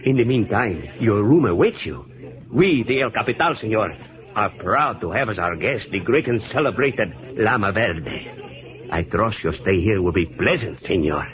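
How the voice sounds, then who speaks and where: A man's voice: fairly low pitch, expressive, moderate pace, close to an everyday voice. Man as Mexican hotel clerk, courteous, formal, swooping intonation, 1940s radio drama, muffled sound, crowd behind. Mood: polite, smooth. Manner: formal, unctuous.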